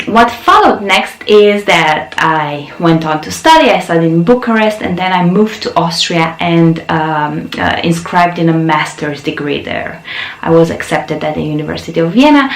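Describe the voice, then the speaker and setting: A woman's voice: lowish voice, reverberant, low-quality recording. Romanian-born woman telling her story. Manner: frank, chatty, with many fillers and laughs. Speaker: yoga channel host. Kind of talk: personal storytelling to camera.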